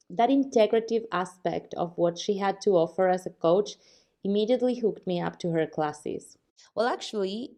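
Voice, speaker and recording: A woman hosting a podcast, reading an earnest introduction and then gently asking her guest questions. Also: on the low side. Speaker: podcast host.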